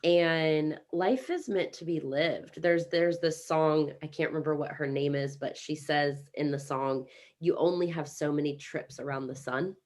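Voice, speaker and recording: An American woman in her thirties, chatting as a guest on an interview over a video call, upbeat and talkative with quick restarts. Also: low-pitched, darkish tone. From Kansas City, United States. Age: 30s. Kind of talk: casual interview chat.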